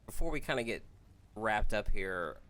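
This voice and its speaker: A man with a bright, forceful voice pitched slightly low. He hosts a casual podcast chat about games and films and steers the discussion. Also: animated, normal speed. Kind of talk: casual video game and movie chat.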